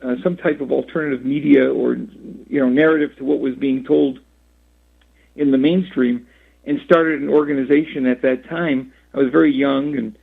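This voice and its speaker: A man in his sixties from Rhode Island, a radio interview guest who talks in a halting way with many fillers and restarts, heard over a phone line. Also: medium pitch, steady tone, creaky, husky. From Rhode Island, USA. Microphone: phone call.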